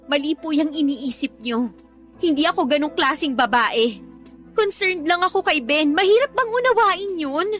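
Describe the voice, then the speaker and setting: An earnest young student in a radio drama. Her voice is very high, and she pleads with respectful but firm conviction. Soft music runs underneath. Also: expressive, normal speed. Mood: hurt, firm. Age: young adult. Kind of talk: Tagalog radio drama acting.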